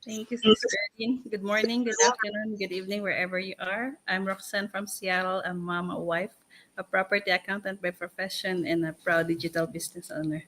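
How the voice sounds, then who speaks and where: A woman, talking casually as a livestream co-host, haltingly searching for words. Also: medium pitch, little pitch movement, fairly bright tone, poor audio. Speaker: co-host and panelist.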